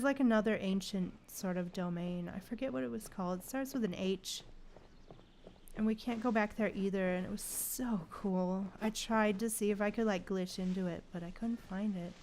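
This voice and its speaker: A woman streaming gameplay in a medium-pitched voice. She chats casually and reacts playfully as she goes, with faint music behind her. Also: moderate pace, a little mellow. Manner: playful, reacts aloud to the game. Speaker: game livestreamer. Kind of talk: casual live gameplay commentary, chatting with viewers.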